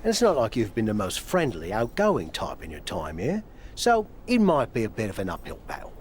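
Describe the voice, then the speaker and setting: A man's voice: fairly low pitch, huge pitch swings, fairly quick, slight background noise. A middle-aged man playing a proud, crafty, teasing veteran wrestler who coaches frankly in a video game cutscene. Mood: wry, teasing. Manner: frank, coaxing, wry.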